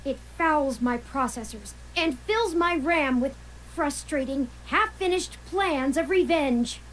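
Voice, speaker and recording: A woman voicing a young robot companion who grieves and seethes with anger, speaking in earnest, precise phrases with halting, pained pauses. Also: high voice, fairly bright tone, a little hiss or hum, poor audio. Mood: grieving, angry. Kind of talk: video game voice acting.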